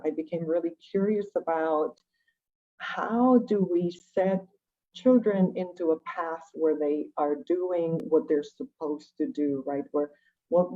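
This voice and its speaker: Low-voiced Venezuelan woman, dull recording. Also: dark tone. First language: Spanish. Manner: reflective, with rhetorical questions and tag "right?". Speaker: guest, education nonprofit director. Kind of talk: conversational personal storytelling. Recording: dull, lo-fi call audio.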